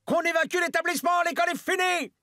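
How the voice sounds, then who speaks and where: An actor voicing an officious, easily panicked school headmaster in a cartoon. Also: male voice, extremely high pitch, fairly even intonation, quick pace. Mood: panicky, bossy. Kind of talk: French animated cartoon dubbing.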